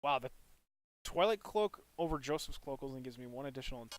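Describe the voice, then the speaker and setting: A man's voice: mid-range voice, expressive, a little fast, no room sound. A man live streaming a video game, giving casual, informal commentary, thinking aloud and chatting with viewers. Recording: loud game music behind the voice. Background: video game music.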